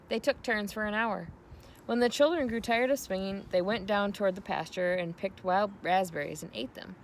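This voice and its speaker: A woman giving an expressive read-aloud narration of a children's novel. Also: mid-range voice, a little hiss or hum. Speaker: storybook reader.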